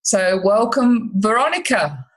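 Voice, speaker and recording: A woman's voice: fairly high pitch, sing-song, a little slow, low-quality recording. A woman hosting a relationship podcast, chatting in a friendly way with some restarts. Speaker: podcast host, relationship coach. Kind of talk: casual interview chat.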